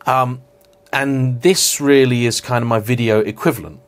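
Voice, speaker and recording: A man's voice: mid-range voice, steady tone, moderate pace. A man talking to camera in an unscripted vlog, reflective and apologetic, with frequent restarts and hedging fillers. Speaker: car vlogger.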